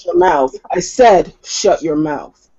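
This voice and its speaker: Man playing Victoria, a scornful, high-pitched werewolf. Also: huge pitch swings, takes it slowly, vocal fry, warm tone, muffled recording, heavily acted. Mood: scornful anger. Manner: scornful, confrontational, sarcastic. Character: Victoria, hostile, domineering, foul-mouthed female werewolf. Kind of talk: in-character tabletop roleplay.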